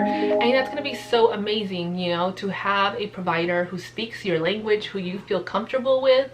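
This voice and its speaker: A woman's voice: on the high side, fairly bright tone, poor audio. A young adult woman, a nurse practitioner student whose first language is Spanish, talking earnestly and casually to camera with lots of fillers. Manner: chatty, earnest, many fillers.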